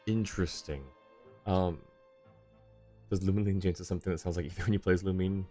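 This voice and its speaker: A man giving casual, musing, halting live commentary as a game streamer. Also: deep voice, fairly even intonation, mellow. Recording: game music clearly behind the voice. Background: video game music.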